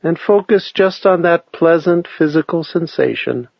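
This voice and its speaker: Male meditation guide, slow and measured delivery, calm instruction, dry and slightly muffled sound. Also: fairly high pitch, gravelly, no echo. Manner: unhurried, measured phrasing with long pauses.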